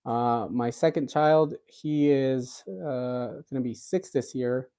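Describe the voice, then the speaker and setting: Man, thirties, livestream cooking host, rambling casual chat, mellow warm voice. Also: medium pitch, slow, smooth. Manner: rambling, many fillers and restarts. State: recovering from a cold.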